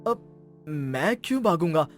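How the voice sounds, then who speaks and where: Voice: mid-range voice, very animated, a little slow, music in the background. A performer voicing Pradeep, a sneaky young husband who keeps three wives secret from one another and wriggles out of every tight spot, in a cartoon story. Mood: evasive. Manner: sneaky, talks his way out of trouble. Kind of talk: cartoon voice acting.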